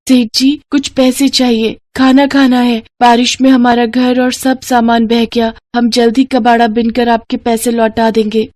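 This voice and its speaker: A woman's voice: a little high, level tone, a little slow, hardly any pauses, a little mellow, dry recording, studio quality, voice pushed up, natural voice. A woman voicing a poor, protective big sister in a moral story, speaking in an earnest, pleading way. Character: poor, caring big sister scrap-picker girl. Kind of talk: moral story character acting.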